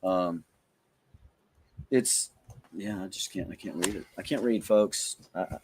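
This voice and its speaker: A man's voice: sits low, unhurried, a little mellow, a little hiss or hum. A middle-aged man hosting a podcast, chatting casually about toys in an informal, joking way. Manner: jokey, informal, swearing. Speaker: podcast host.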